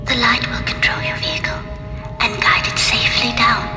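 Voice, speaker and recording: A woman's voice: fairly high pitch, little pitch movement, normal speed, lightly acted. A woman playing a serene, courteous alien flight controller in a science fiction radio drama, formal and precise. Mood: calm, formal. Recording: echoing hall, music underneath.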